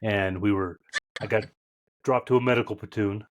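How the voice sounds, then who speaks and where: A man's voice: on the low side, fairly even intonation, moderate pace, a little hiss or hum. A man in his fifties who hosts a podcast, speaking in a reflective way and searching for his words as he introduces his guest. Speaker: podcast host. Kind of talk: casual interview conversation.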